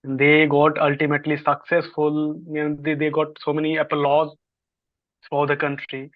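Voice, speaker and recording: A man's voice: on the high side, monotone, moderate pace, no echo. A young man asking a question in an online session, hesitant and polite with many fillers. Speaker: audience member asking a question. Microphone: video call. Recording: dry lo-fi call audio.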